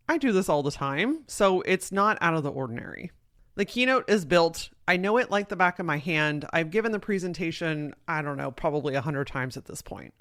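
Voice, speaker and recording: Deep-voiced woman, candid podcast monologue. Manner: candid, swears freely, animated storytelling. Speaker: podcast host and keynote speaker. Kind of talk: casual solo podcast monologue.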